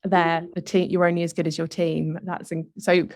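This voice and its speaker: Low-voiced woman podcast host, dry sound. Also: level tone, normal speed, a little mellow, no room sound. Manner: encouraging, asks open questions. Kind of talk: podcast interview.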